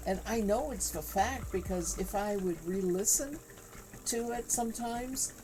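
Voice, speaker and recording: A woman streaming live while she spins yarn, chatting casually with viewers in a soft, calming voice and laughing easily. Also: mid-range voice, a little slow, background noise. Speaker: livestream host spinning yarn. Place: home crafting room with spinning wheel.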